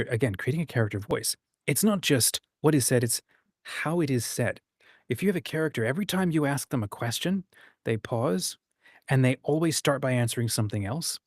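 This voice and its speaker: A man's voice: medium pitch, a little mellow, no room sound, clean studio sound. A man hosting a casual discussion, explaining his ideas and sometimes acting out short examples. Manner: acts out short quoted examples. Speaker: host of a writing discussion.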